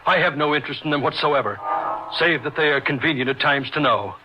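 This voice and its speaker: An actor playing a brilliant, lonely and superior young surgeon in old-time radio horror style, speaking gravely and deliberately. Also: male voice, on the high side, over music. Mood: superior, certain. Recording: muffled radio drama with background hiss.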